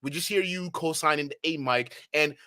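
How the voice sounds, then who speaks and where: A man's voice: fairly high pitch, normal speed, sharp tone. Mocking Nigerian man streaming to chat. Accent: slight Nigerian. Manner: mocking, laughs often, many restarts. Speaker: commentary streamer host. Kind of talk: live-stream commentary to chat.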